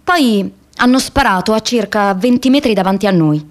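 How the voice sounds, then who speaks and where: A woman's voice: mid-range voice, moderate pace, close to an everyday voice. An actress playing an idealistic young American woman activist in a radio theatre monologue, telling her diary expressively in the first person. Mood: grave recounting. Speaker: stage actress.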